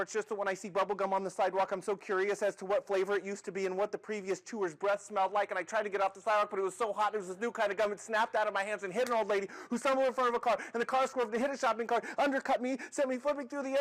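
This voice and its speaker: A man's voice: high-pitched, monotone, fast, few pauses, lightly acted, rough recording. A man voicing a weird, nerdy boy who apologises in a breathless, run-on way for a comic story. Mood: frantic apology.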